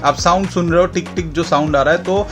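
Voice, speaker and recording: An Indian-accented man with a slightly high voice presents a product in a casual, explanatory way. He repeats key points to make them clear. Music plays behind him. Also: steady tone, fast. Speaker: product demonstrator and channel host. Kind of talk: casual product demo mixing Hindi and English. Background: background music.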